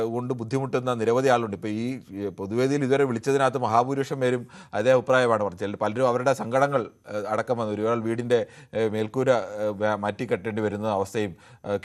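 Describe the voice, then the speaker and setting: A man hosting a live discussion show, reading out viewer comments and answering them argumentatively. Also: lowish voice. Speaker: TV phone-in discussion host.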